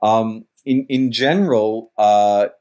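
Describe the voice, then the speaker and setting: A man's voice: on the low side, takes it slowly, dark tone. A middle-aged man talking in a wordy, searching way as a podcast guest, often correcting himself mid-sentence. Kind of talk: reflective interview talk.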